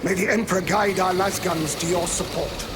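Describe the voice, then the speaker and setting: A man's voice: sits high, fairly even intonation, moderate pace, background noise, natural voice. A man voicing a gritty guard officer. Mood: deferential. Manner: deferential to superiors, shouting orders to troops. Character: gritty male guard officer, deferential and urgent.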